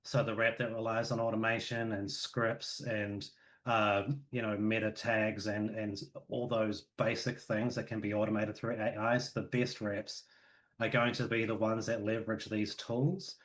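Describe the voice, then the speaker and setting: A man from New Zealand with a New Zealand accent, chatting casually as a podcast guest, relaxed and full of fillers. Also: lowish voice. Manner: relaxed, filler-heavy, with quick asides.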